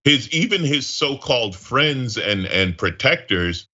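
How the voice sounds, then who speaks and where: A man's voice: mid-range voice, sing-song, measured pace, pushed and bright, slightly rough, highs cut off. A man giving conversational political commentary as a guest, wry and emphatic, repeating phrases for effect.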